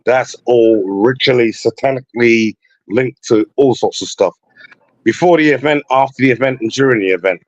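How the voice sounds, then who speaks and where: A man's voice: mid-range voice, moderate pace, no echo. A man talking casually as a guest on an online call, rambling and leaning on "you know what I mean.". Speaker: guest on a call-in talk show. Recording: muffled lo-fi call audio.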